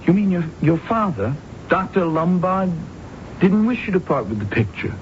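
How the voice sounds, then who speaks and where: An actor playing a smooth, solicitous male visitor who also narrates, courteous and emphatic in 1970s radio drama style. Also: high voice, sing-song, moderate pace, a little hiss or hum. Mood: concerned. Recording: dull old radio recording, loud music.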